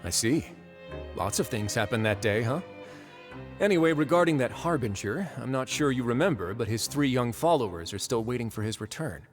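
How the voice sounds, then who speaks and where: An actor voices a man in command of a fortress in an anime game. He is dry, courteous and unhurried in authority, at a middling pitch. Soft music sits behind him. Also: animated, natural voice. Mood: composed, wry. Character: composed, wry man in charge of a fortress. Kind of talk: anime-style video game voice acting.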